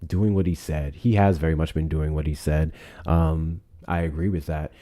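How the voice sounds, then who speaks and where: A man's voice: a bass voice, steady tone, moderate pace, warm tone. A man hosting a heated live debate stream, combative, sarcastic and profane as he presses his guest.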